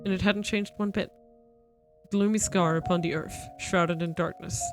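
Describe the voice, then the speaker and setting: A woman streamer reading a visual novel's narration aloud, steady and even. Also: lowish voice, moderate pace, over music. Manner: steady narration with playful retorts.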